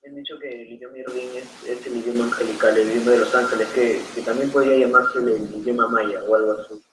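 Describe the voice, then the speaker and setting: Fast-talking man on a distant, echoey line. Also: medium pitch, steady tone. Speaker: caller asking questions. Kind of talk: questions on a video call. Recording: echoey distant call audio with faint noise.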